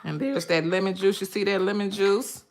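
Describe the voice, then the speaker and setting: A woman from Michigan hosting a casual live stream from her home kitchen, with a loud voice, rambling and chatty, full of ums as she talks straight to her viewers through a phone camera. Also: medium pitch, normal speed, slight background noise. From Michigan, USA.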